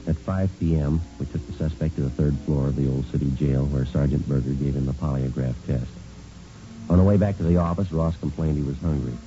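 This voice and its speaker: A terse male police detective from an old radio crime show. His voice is rock-bottom low and very dark, delivered in clipped, unflappable deadpan. It comes off a muffled vintage recording. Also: fairly quick, faint music behind. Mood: matter-of-fact. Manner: clipped, deadpan, matter-of-fact. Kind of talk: vintage radio police drama acting and narration.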